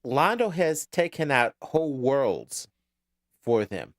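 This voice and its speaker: A man's voice: medium pitch, sing-song, takes it slowly, no room sound, studio quality. A man co-hosting a podcast, chatting casually through an episode recap, often repeating words as he starts a thought. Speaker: podcast co-host.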